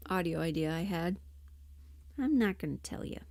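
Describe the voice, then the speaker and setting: A woman livestreamer chatting casually and thinking aloud in an unhurried, musing way, often trailing into half-whispers. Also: fairly low pitch, expressive, darkish tone, dry recording. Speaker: audio roleplay performer and livestream host.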